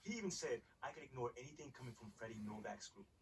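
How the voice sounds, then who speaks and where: A man plays a young American male basketball star in a game cutscene, slangy and quick-spoken. He sounds confident and conversational, slightly off the mic with faint noise. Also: mid-range voice, sing-song, recorded from a distance, natural voice. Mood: earnest, candid. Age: young adult. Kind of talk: casual cinematic video game dialogue.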